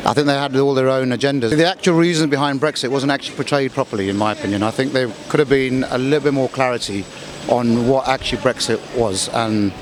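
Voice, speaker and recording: A man giving measured opinions as an interviewee in a street interview. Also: mid-range voice, crowd noise. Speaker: interviewee, company chief executive. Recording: clear urban street noise.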